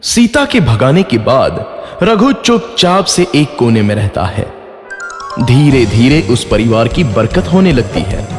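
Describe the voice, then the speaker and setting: Animated male storyteller in heavy echo. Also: mid-range voice, unhurried. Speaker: narrator. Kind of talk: scripted storybook narration for a children's cartoon. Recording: echoey, long reverb, faint music behind. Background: faint music.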